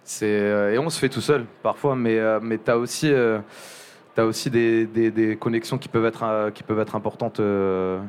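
A young man speaking casually as an interview guest, halting and restarting often, with many fillers. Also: fairly low pitch, fairly even intonation, slight background noise. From Montpellier area. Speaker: journalist guest.

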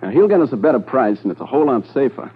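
An actor playing a confident, persuasive crooked gold dealer in a 1950s radio drama, easygoing and colloquial. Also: male voice, mid-range voice, very animated, very fast, slightly rough. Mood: persuasive, eager. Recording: narrow-band vintage 1950s radio recording.

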